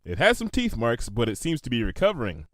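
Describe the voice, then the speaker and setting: A man's voice: mid-range voice, wildly swooping pitch, fast, no echo, studio quality. A man voicing a studious, confident female unicorn scientist who talks in a clinical, dictating manner in a storybook reading. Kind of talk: fan fiction storybook character voice.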